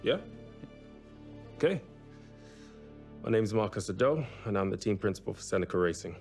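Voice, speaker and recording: An actor playing a hopeful, earnest young racing team boss in a casual, conversational, self-assured way. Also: male voice, on the low side, animated, moderate pace, a little mellow, soft background music. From South London, England. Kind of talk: documentary-style interview acting.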